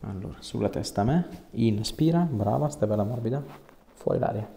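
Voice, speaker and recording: A man's voice: fairly low pitch, lively intonation, normal speed, creaky. A man, an osteopath giving short, informal, reassuring instructions and questions to a patient. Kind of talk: hands-on treatment session talk, giving short instructions and questions. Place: small clinic treatment room, indoors. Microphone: clip-on lapel mic. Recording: echoey room, audible background noise.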